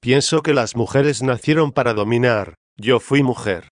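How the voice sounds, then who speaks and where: Un hombre que pone voz al espíritu de una reina difunta, orgullosa y desdeñosa, que responde con altivez. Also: male voice, medium pitch, normal speed, no echo, clean studio sound, lightly acted. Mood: haughty. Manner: disdainful, imperious. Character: haughty dead queen's spirit, woman, proud, scornful.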